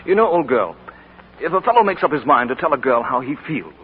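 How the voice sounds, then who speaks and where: An actor playing a timid, bumbling young suitor in a 1940s radio drama, stammering politely. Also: male voice, medium pitch, sing-song, brisk, lightly acted. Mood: sheepish. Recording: tinny, noisy 1940s radio broadcast.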